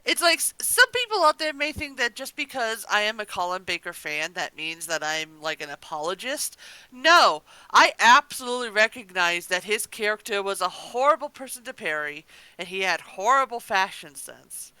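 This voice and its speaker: A woman's voice: medium pitch, very animated, moderate pace, pushed and bright, clean tone, no room sound, poor audio. A woman co-hosting a podcast, chatting casually and emphatically as an enthusiastic fan. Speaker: podcast co-host.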